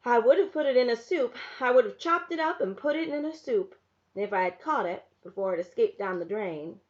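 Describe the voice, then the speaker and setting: A woman's voice: fairly high pitch, animated, brisk, lo-fi sound, pitched above the performer's own voice, lightly acted. A woman reading aloud, voicing a worried, practical frontier mother who speaks firmly and matter-of-factly.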